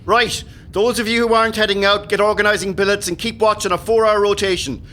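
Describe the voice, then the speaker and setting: A man's voice: sits high, steady tone, fast talker, bright, forceful tone. A man playing a commanding, rousing rebel officer giving orders in an audio play.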